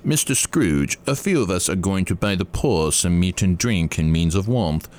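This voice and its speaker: Man voicing deep, courteous storybook charity gentleman. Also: animated, normal speed. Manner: courteous, formal appeal. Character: polite, earnest gentleman collecting for the poor. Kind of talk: storybook character reading.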